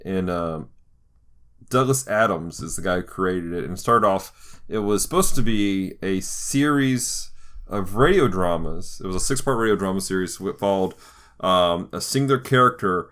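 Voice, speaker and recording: Low-voiced man chatting on a podcast. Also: expressive, moderate pace, a bit bright. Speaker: podcast co-host. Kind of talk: casual podcast discussion.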